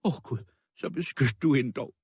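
A man voicing a frantic, anguished sick widow in a storybook reading, hoarse and tearful. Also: high-pitched, lively intonation, no room sound, clean studio sound, pitched above the performer's own voice. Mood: desperate, wailing. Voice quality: hoarse, plaintive. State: crying.

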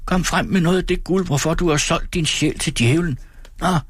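A cheeky street boy, acted by a man in a storybook, pitched up high. He calls out in a jeering, goading way. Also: husky, no room sound, clean studio sound. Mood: taunting. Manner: calling out, goading. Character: taunting gang of street boys, jeering and cheeky.

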